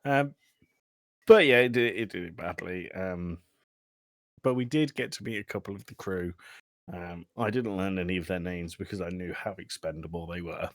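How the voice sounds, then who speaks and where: A man with a fairly low voice, recorded close and dry. He talks through a film as a podcast host, casually and informally, with dry jokes and some swearing. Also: moderate pace, no room sound. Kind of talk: casual film recap among friends.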